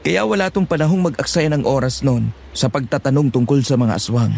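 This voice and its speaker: A man's voice: mid-range voice, fairly quick, vocal fry, a little mellow, slight background noise, close to an everyday voice. A man playing a talkative, teasing young villager who explains monster lore informally in a horror audio drama. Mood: eager, explaining.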